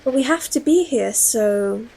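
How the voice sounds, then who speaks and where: A woman's voice: fairly high pitch, expressive, measured pace, creaky, warm tone, slightly rough, full character voice. A woman playing a female English professor who starts hearing strange voices, talking in a guarded, hesitant way. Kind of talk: audio drama acting.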